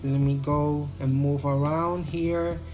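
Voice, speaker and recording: A man's voice: fairly high pitch, flat delivery, takes it slowly, music in the background. A man whose first language is Spanish, speaking English with a Spanish accent as he casually reviews a phone in a rambling voice-over full of "you know". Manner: rambling, filler-heavy, repeats "you know". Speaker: tech YouTuber.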